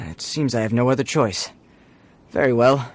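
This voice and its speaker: A man voicing a dutiful, resigned patrol guard in a video game, formal and halting, with a husky voice. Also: medium pitch, slight background noise, lightly acted. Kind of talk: video game character acting.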